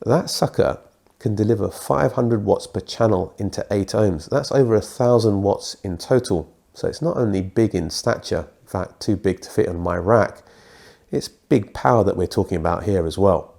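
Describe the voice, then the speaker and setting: Man, British accent, middle-aged, congested and croaky, dark mellow tone, scripted review. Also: fairly low pitch, normal speed. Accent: British English. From Britain. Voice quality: thick, croaky. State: congested from a cold.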